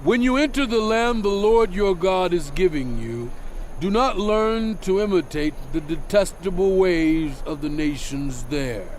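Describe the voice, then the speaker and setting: A man playing a solemn lawgiver prophet in a dramatized scripture reading, declaiming deliberately to his people. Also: high voice, animated, a little hiss or hum. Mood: solemn, instructive. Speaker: narrator voicing a prophet in a dramatized audio Bible. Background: background music.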